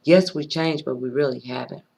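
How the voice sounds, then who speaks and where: Rough-voiced woman, earnest and preachy. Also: a bass voice, a little mellow. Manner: earnest, preachy, pauses often and repeats phrases. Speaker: vlogger sharing personal views. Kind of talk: unscripted spoken monologue.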